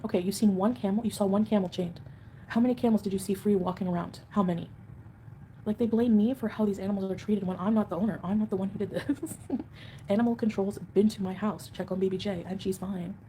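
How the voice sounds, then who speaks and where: Woman, livestream host, casual rambling chat, defensive, mellow tone, mid-range pitch. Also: a little hiss or hum, rough recording.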